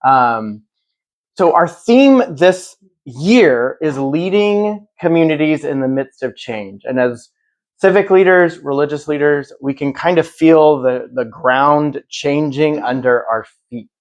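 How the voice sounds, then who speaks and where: Man moderating, measured and explanatory. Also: fairly high pitch, animated. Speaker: moderator of a panel event. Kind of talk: prepared introduction to a live public conversation.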